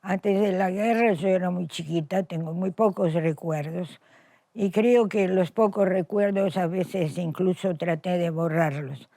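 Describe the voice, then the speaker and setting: An elderly woman in her eighties speaks with an Italian accent, slowly and deliberately. She tells her memories in a reflective way, pausing to correct herself. The recording is dry and close, with no room sound. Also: mid-range voice, a little mellow, dry recording. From Macedonia. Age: 80s. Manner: reflective, self-correcting storyteller. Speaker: interviewee, Holocaust survivor. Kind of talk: recorded testimony interview.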